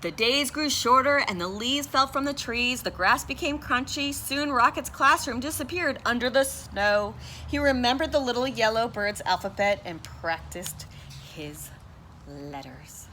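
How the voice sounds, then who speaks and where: Expressive woman reading a picture book aloud. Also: on the high side, a little slow, slight background noise, rough recording. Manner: expressive, sounds out and spells words letter by letter. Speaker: teacher.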